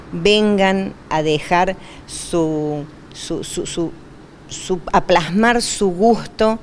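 A woman in her sixties with an Argentine accent, speaking deliberately in a local news interview as a neighbourhood representative, repeating words for emphasis. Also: on the low side, a little hiss or hum. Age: 60s. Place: indoors in a community hall. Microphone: handheld interview microphone.

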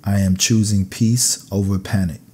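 A man narrating scripted sleep meditation affirmations in a calm, soothing, measured way. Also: lowish voice, a little hiss or hum.

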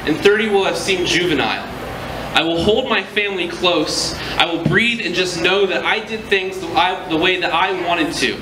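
A man, chatting casually with an audience and then reciting a spoken word poem on a small stage. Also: fairly high pitch. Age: 30s. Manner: chatty, joking intro, then rhythmic earnest recitation. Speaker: slam poet. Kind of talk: spoken word poetry performance. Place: small indoor venue stage with live audience. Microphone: stand mic, filmed from across the room. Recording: echoey room, audience noise.

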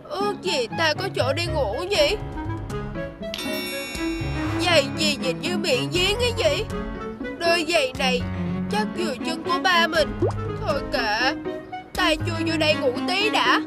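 A woman's voice: very high voice, expressive, a little slow, pauses often. A woman voicing a small, naive, polite tiny-folk character with a childlike, plaintive manner in a children's cartoon fairy tale. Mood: sleepy, wondering. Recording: music under the voice. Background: music.

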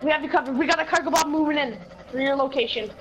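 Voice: sits high, racing, fairly bright tone, slight background noise. A young gamer playing an urgent military rescuer, with clipped, repetitive radio-speak in a game roleplay. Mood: urgent, official. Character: urgent military rescuer calling over walkie-talkie.

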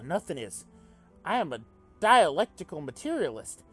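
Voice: medium pitch, sing-song, normal speed, fairly bright tone, husky, soft background music, voice pushed up. A reader voicing a zealous, bouncy male alien revolutionary who preaches in fervent speeches.

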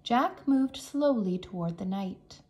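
A woman teacher narrating a children's story aloud in a careful, expressive storytelling manner. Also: mid-range voice, takes it slowly. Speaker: English teacher reading aloud.

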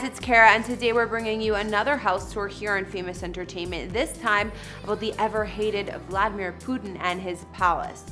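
Young woman, bright forceful voice, scripted video host narration, emphatic delivery, faint music behind. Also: medium pitch. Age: young adult. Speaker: host of an entertainment house tour show.

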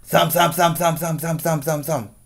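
A man in his forties, a preschool teacher, reading a story aloud in a deliberate, expressive way and checking in with his young listeners. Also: on the high side, vocal fry. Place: small plain room indoors. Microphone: webcam at arm's length.

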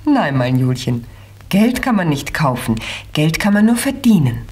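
A woman playing a patient, busy mother who explains gently in a children's radio play. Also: low-pitched, sing-song, measured pace, slightly rough, full character voice. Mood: explaining.

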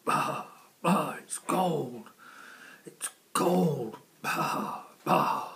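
A man's voice: on the high side, extremely slow, a bit bright, pitched above the performer's own voice, exaggerated voice. A man voicing a shivering, complaining goat in a storybook, with a bleating voice. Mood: cold, plaintive.

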